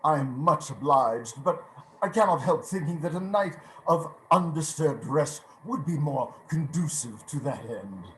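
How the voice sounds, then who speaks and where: Over faint noise comes an actor as a remorseful old man, a miser in a radio play. He speaks slowly and hesitantly, his pitch swooping as he turns from gruff to tremulous. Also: lively intonation, a bit bright, low-quality recording. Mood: wary, alarmed. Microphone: video call.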